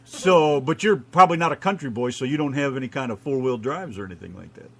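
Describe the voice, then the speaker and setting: A man's voice: medium pitch, fast, a bit bright. A man hosting a casual interview in a laid-back, joking way. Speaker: interview host.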